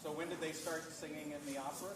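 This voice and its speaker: Friendly high-voiced man addressing a noisy crowd. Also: fairly even intonation, quick pace. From New York. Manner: friendly, coaxing, asks the kids questions. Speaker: opera singer speaking to a school audience. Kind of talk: casual post-show chat with children. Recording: noisy audience of children around.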